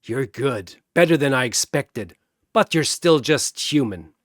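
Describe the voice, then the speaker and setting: A man's voice: mid-range voice, expressive, a little slow, dry recording, studio quality, lightly acted. A man voicing a monstrous, arrogant shapeshifting man who growls with contempt. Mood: cold.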